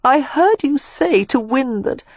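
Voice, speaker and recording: A frightened but stubborn young woman, as a woman narrator voices her. She speaks high and slow, a little breathless and halting, with a dry close sound. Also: animated, dry recording, exaggerated voice. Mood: hurt.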